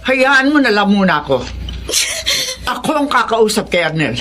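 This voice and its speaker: A woman's voice: medium pitch, sing-song, moderate pace, a bit bright, a little hiss or hum. An actress playing an indignant middle-aged mother who scolds her son's behavior in a radio drama. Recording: music bed behind voice.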